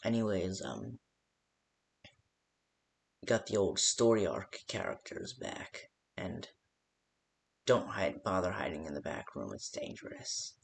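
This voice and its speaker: A man playing a rambling, hesitant phone guide who briefs a night guard in a game, with a gravelly voice. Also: low voice, wildly swooping pitch, slow pace, pauses often, a little hiss or hum, full character voice. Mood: casual, hesitant. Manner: hesitant, rambling, full of stops and second thoughts. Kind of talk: improvised-sounding instructional phone call for a game.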